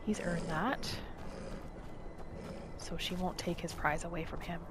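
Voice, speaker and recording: A woman gently narrating a relaxing game roleplay in a soft, soothing manner. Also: on the low side, steady tone, measured pace, background noise. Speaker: gameplay narrator. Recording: voice over loud game music.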